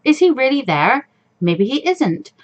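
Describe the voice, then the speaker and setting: A woman's voice: high-pitched, big pitch swings, unhurried, lo-fi sound, pitched above the performer's own voice, exaggerated voice. A woman voicing an anxious, introspective fourteen-year-old girl in a visual novel, with a hesitant, musing manner. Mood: troubled, musing. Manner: hesitant, musing inner monologue. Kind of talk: visual novel read-aloud.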